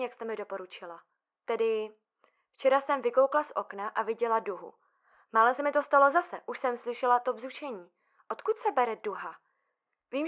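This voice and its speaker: An actress playing an anxious, fragile female psychiatric patient in an audio drama, with nervous, halting delivery. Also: fairly high pitch, a bit bright.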